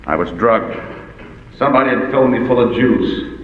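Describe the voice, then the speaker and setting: A man's voice: medium pitch, normal speed, a little hiss or hum, lightly acted. An actor playing a tough, wisecracking male private detective in 1940s radio noir, with sardonic, clipped delivery. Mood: weary, hardboiled. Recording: muffled vintage radio recording with music.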